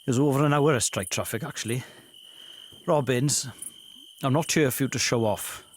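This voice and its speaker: An actor playing an earnest, needy police detective in a comic audio drama, pleading and a little prissy. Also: male voice, mid-range voice, moderate pace, a little hiss or hum, lightly acted. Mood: testy.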